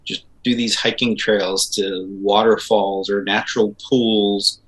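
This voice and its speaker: A middle-aged man from Israel, a composer and guest, chatting casually in an interview and telling animated stories with fillers. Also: fairly low pitch, a little slow, rough recording. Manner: animated storytelling with laughs and fillers.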